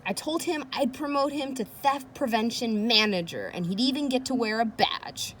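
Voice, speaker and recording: A woman's voice: on the high side, animated, normal speed, fairly bright tone, a little hiss or hum. A woman reading a children's chapter book aloud, speaking directly to young listeners in a chatty way. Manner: engaging young listeners with questions and commentary. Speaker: read-aloud host.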